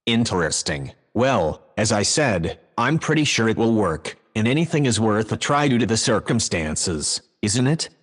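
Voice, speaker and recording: Sci-fi house computer voiced as a man: lowish text-to-speech male, measured, courteous, helpful. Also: sing-song, breathy edge. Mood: calm, analytical. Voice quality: synthetic text-to-speech sound. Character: polite, calm, helpful male AI house assistant. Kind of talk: sci-fi audio drama.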